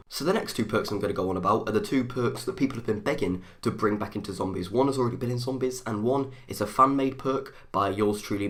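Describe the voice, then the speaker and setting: A young man races through his gaming commentary, thinking aloud as he goes. His voice sits a little low and sounds stuffed up from a runny nose. He rambles and rushes more than he normally would. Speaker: gaming video host.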